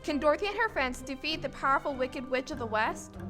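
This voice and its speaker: A woman's voice: high-pitched, expressive, brisk, over loud music. A woman hosting an audio drama, reading scripted announcements. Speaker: host announcer.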